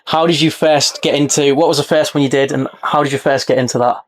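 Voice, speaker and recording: A man hosting a casual podcast, steering the chat and dropping in short yeahs as he listens. His voice sits a little high for a man. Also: steady tone, fast talker. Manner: friendly, frequent "yeah" backchannels. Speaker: podcast host. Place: on board a yacht.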